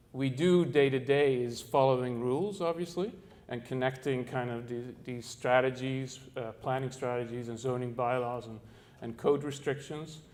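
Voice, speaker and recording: Halting Dutch-born man presenting a talk. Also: medium pitch, a little mellow. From Netherlands. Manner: halting, many fillers and restarts, searching for words. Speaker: architect giving a talk. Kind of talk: presentation to an audience.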